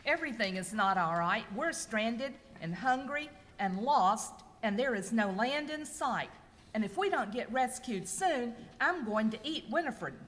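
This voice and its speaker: A woman's voice: fairly high pitch, lively intonation, a little slow. A woman playing an exasperated, snippy castaway in an amateur radio comedy skit. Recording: echoey hall with faint noise.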